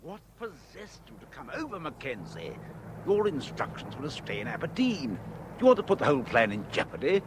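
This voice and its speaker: Scheming ringleader, curt hushed orders, lively intonation, hissy old broadcast. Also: male voice, on the high side, fairly quick. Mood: hushed, stern. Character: cold, scheming male conspirator in charge. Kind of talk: 1970s radio drama acting.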